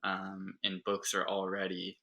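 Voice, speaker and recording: Flat-voiced bright man, podcast guest. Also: deep voice, measured pace. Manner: relaxed, hesitant with fillers. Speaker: guest, bookstore owner. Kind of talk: casual interview chat.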